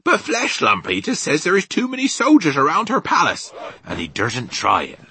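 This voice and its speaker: A man voicing a big, gentle but stubborn storybook giant who talks in muddled grammar and invented words. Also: on the high side, wildly swooping pitch, normal speed, slightly rough, pitched above the performer's own voice, heavily acted. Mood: matter-of-fact. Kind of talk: children's storybook character reading.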